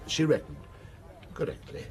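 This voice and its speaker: An actor playing a rich, wronged man making an anguished confession in a radio drama, in halting, clipped phrases. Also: faint music behind, natural voice. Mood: grave, restrained. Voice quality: wheezy.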